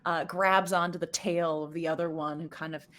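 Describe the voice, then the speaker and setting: A woman game master improvising descriptive narration for a tabletop game, pausing and feeling her way through phrases. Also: fairly low pitch, fairly even intonation, a bit bright.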